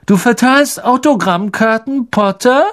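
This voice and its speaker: A man voicing an arrogant schoolboy bully who mocks and taunts in a shrill, high voice for an audiobook. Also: measured pace. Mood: sneering.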